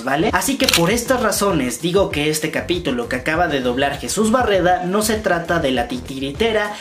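A man in his twenties with a Mexican accent, explaining fan news directly to his viewers as a YouTube host. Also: a little high. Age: 20s. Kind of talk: fan news vlog to camera. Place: small room at home, indoors. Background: background music.

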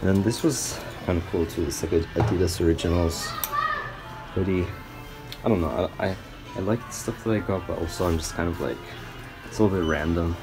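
Low-voiced young man chatting casually. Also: a little fast, music in the background. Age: young adult. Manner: rambling, loose and slangy, with frequent "like". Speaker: vlogger. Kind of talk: casual vlog chat. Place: parked car, later small store fitting room. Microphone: camera or phone at arm's length.

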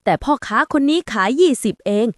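A woman voicing an eager, simple older male farmer in an excitable manner for a storybook tale. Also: a little fast, no echo, studio quality, natural voice. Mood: delighted.

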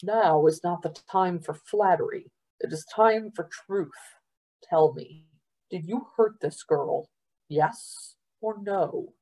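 Audiobook ruler, woman narrator acting: stately man in authority, smooth, mellow, measured, condescending. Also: poor audio. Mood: chiding. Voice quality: deep, smooth. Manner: measured, pompous. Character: grave, commanding male governor, smooth and condescending.

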